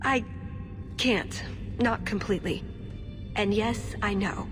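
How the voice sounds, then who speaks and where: A woman's voice: medium pitch, slow, close to an everyday voice. A woman playing an earnest, idealistic elf agent in a video game cutscene, conversational with wry touches. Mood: earnest, troubled. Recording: reverberant, music under the voice. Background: music.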